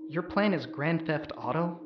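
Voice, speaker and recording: An actor playing an anxious, loyal young rebel crew member in a sci-fi audio drama. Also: male voice, fairly high pitch, animated, unhurried, echoey room, highs cut off, background noise. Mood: sceptical.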